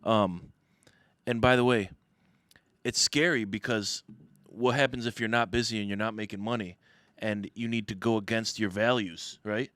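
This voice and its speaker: A man's voice: on the low side, fairly even intonation, normal speed, fairly bright tone, dry recording. An American man from the Detroit area talking casually as an interview guest, emphatic and plain-spoken. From Detroit area, United States. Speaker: podcast guest, restaurateur.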